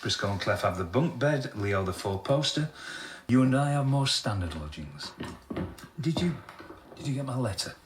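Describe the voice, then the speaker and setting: A man's voice: mid-range voice, lively intonation, normal speed, natural voice. An actor playing an eager male colleague who talks in an awkward, hesitant way, in a radio drama. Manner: hesitant and fumbling.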